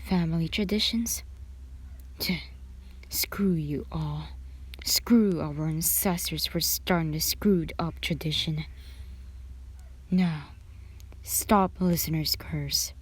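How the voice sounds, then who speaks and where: Rebellious young male assassin, played by a woman: bitter, scoffing, husky low delivery, fan fiction drama. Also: slow pace, pauses often, full character voice. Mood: bitter anger. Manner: scoffing, sullen. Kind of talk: anime fan fiction character reading.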